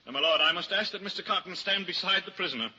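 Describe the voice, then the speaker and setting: An actor playing a cunning, pompous defence barrister declaiming in a vintage radio drama. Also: male voice, high-pitched, fast talker, sharp tone. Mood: probing, formal. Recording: dull, noisy old-time radio recording.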